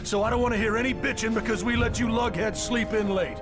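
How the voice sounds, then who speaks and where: Commanding gruff marine captain, acted by a man, raised pitch. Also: steady tone, fast talker, music in the background, natural voice. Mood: gruff, businesslike. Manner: commanding, blunt, profane. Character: gruff, foul-mouthed male marine captain commanding troops. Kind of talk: military briefing and rallying speech in video game.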